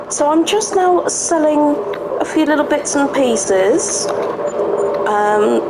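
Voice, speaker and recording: Woman, gaming host, croaky, casual running commentary, noisy home mic. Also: a little high. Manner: chatty, talks to herself while playing. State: slightly croaky voice. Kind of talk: casual let's-play commentary. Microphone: home desk microphone.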